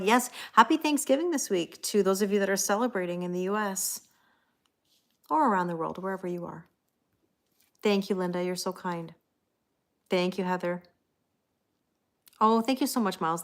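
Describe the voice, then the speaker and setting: A woman's voice: mid-range voice, normal speed, long pauses. A middle-aged woman hosting a tarot livestream, chatting casually and thanking viewers by name.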